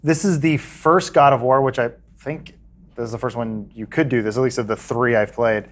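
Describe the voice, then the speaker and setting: A man chatting enthusiastically on a podcast, acting out game characters in higher voices. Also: steady tone, moderate pace. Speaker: podcast co-host. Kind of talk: casual chat.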